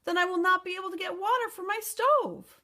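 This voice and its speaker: A woman's voice: extremely high pitch, a little fast, sharp tone, voice pushed up. Woman voicing a blustering, easily worried storybook giant. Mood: alarmed. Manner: exclaiming, protesting.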